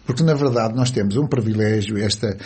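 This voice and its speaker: A man's voice: lowish voice, brisk, darkish tone, breathy edge. A man with a European Portuguese accent in casual political chat, explaining things reflectively with folksy sayings.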